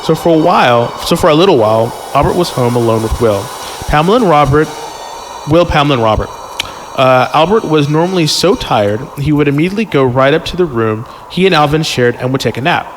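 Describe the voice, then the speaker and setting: A man's voice: a little high, lively intonation, fairly quick, a little hiss or hum. A man hosting a podcast, reading a story aloud casually, stumbling over the text and breaking off to joke and comment. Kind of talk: casual read-aloud with joking banter. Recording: long reverb, music underneath. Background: music.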